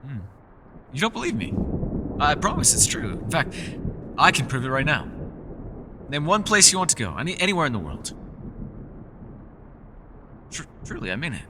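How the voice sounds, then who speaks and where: Fantasy ASMR roleplay: male actor as courteous, mysterious elf scholar, theatrical and genteel, animated mid-pitched delivery over clear storm ambience. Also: normal speed, long pauses, background noise. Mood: eager. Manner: theatrical, genteel, old-fashioned formal phrasing. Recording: rain, thunder and storm ambience behind. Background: rain and thunder sound effects.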